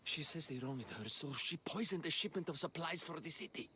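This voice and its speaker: A nervy stage performer, with a fairly high voice over faint ambient noise. He speaks earnestly and dramatically, like a shaken man in a game cutscene. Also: brisk, pushed and bright. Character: anxious, rattled stage actor craving godlike confidence. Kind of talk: video game cutscene acting.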